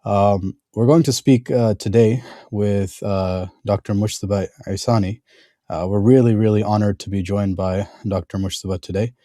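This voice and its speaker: A male podcast host introducing his guest in a conversational way, halting often with fillers and restarts. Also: lowish voice, fairly even intonation, mellow, no room sound, studio quality.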